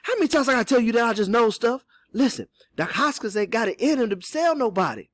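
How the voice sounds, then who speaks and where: A man's voice: very high-pitched, animated, fast, no echo, voice pushed up. Guy doing old southern man in a storybook, urgent n folksy, sly, voice jumps around a lot, dry sound. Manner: whispering Southern dialect speech, urgent and folksy. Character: old enslaved man, sly, hopeful, urging counsellor.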